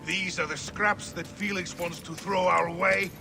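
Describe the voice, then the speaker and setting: Gravelly, taunting gladiator brute. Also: male voice, high-pitched, steady tone, over music. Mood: mocking, contemptuous. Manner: slow, taunting. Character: menacing, mocking male gladiator brute. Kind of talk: dramatic video game cutscene acting.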